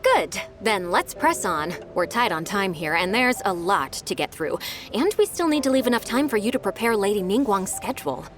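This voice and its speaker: A woman playing a diligent, eager young secretary in a video game, brisk and polite as she methodically explains things. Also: medium pitch, sing-song, over music, close to an everyday voice. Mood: brisk, earnest.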